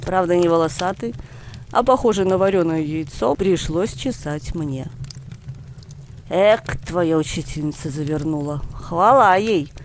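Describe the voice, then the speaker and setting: A woman reading a short story aloud as an audiobook narrator, in an expressive scripted style. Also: on the low side, unhurried, a little hiss or hum. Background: music.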